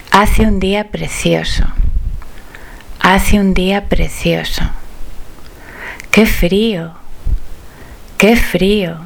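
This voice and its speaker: A woman teaching phrases, saying each one twice slowly and carefully as a language drill. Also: on the low side, slight background noise, rough recording. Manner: slow, careful, clearly enunciated repetition.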